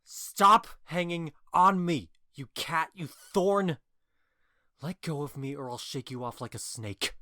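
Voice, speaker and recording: A man's voice: a little high, takes it slowly, bright, forceful tone, no echo, clean studio sound. A man playing a bewitched, cruel and impetuous young lover, scornful and vehement, in a modernized Shakespeare audio drama. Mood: disgusted, angry.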